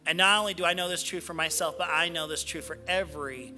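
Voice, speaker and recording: A man speaking as a spiritual practitioner in a church sanctuary, giving devotional readings and prayer in deliberate, measured phrases with pauses. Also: fairly high pitch, music in the background.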